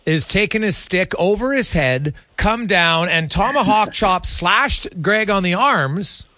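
A man's voice: high voice, lively intonation, slow pace, sharp tone, dry recording. A man hosting casual sports radio talk in a conversational, opinionated way, close on the microphone.

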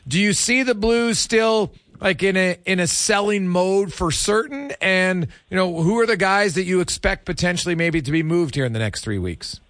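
Higher-voiced man hosting sports radio. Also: animated, moderate pace, hardly any pauses, fairly bright tone, no echo, clean studio sound. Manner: chatty, colloquial, thinking aloud. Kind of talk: casual sports talk interview.

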